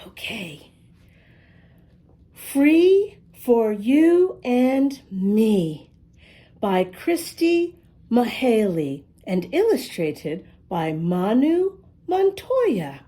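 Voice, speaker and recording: A woman reading a picture book aloud to young children, expressively, pausing to ask them questions. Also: on the high side, big pitch swings, mellow. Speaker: children's storybook reader and host.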